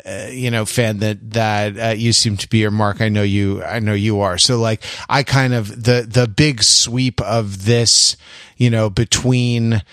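A man chatting casually as a podcast panelist, halting and tentative, with a self-deprecating, bookish way of talking. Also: on the low side, steady tone, a bit bright, clear, resonant tone, dry recording, clean studio sound. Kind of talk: casual intellectual chat.

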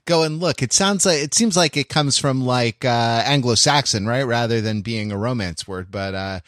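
A man hosting a casual, joking podcast, rambling along with halting starts and self-corrections. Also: mid-range voice, fairly bright tone, dry recording, studio quality. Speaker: podcast host.

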